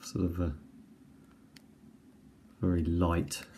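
Low-voiced man musing through an unboxing. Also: little pitch movement, very slow, warm tone. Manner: hesitant, musing, thinking aloud. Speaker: video host reviewing items. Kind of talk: casual unboxing commentary. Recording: home recording with faint background noise.

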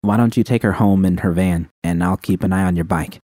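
A man voicing a calm, practical woman friend in a matter-of-fact way, in an audiobook reading of a fan story. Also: low-pitched, a little fast, no room sound, clean studio sound, close to an everyday voice.

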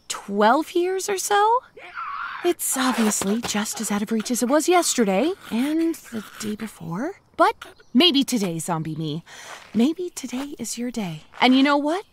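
An actress playing a cheerful, self-aware young zombie, chatting wryly and playfully to the listener in a comedic audio drama monologue. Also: female voice, fairly high pitch. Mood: wry, upbeat. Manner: wry, playful, conversational asides to the listener.

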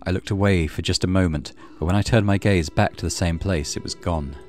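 A man's voice: deep voice, a little fast, soft background music. A man hosting a let's play, reading a horror visual novel aloud with dramatic storytelling and muttered asides. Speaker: gaming channel host.

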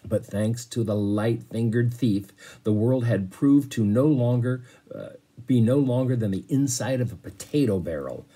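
Middle-aged man as teacher-storyteller, husky and lively, reading aloud to children. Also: mid-range voice, moderate pace. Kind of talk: expressive read-aloud of a children's novel with explanations. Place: small bedroom, indoors.

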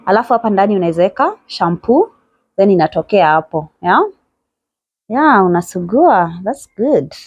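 A woman's voice: medium pitch, animated, moderate pace, husky, no room sound, lo-fi sound. Chatty young Kenyan woman vlogger. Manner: chatty and admiring, mixing English with Swahili slang. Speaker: vlogger giving a house tour. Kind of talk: casual house-tour narration. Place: small indoor bedsitter room.

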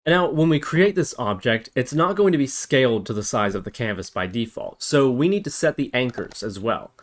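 A man's voice: medium pitch, animated, fairly quick. A man narrating a programming tutorial, explaining step by step and thinking aloud as he goes. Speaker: tutorial host.

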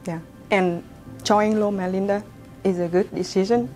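A woman's voice: medium pitch, steady tone, moderate pace, dark tone, faint music behind. A young woman from Vietnam with a Vietnamese accent, answering interview questions as a student and searching for her words. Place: university lab building, indoors.

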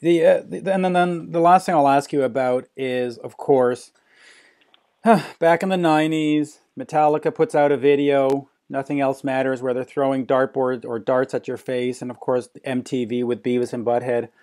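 A man's voice: mid-range voice, fairly even intonation, moderate pace, a little mellow. A man interviewing a musician, chatty, leading his questions and repeating words as he talks. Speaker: interviewer, rock journalist. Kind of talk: casual interview.

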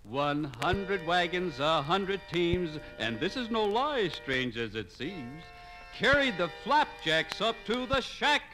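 American male, slow rhythmic verse recitation, theatrical, 1950s radio storytelling, faint music behind. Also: high-pitched, very animated, pushed and bright, low-quality recording. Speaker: radio narrator. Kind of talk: rhymed tall-tale recitation, 1950s radio.

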